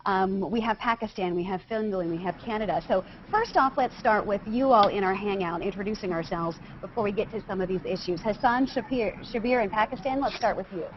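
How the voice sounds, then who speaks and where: A woman hosting a video call, briskly introducing guests and asking questions. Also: mid-range voice, slight background noise. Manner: brisk, inviting, calls on guests by name. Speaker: host. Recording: dull lo-fi video call audio.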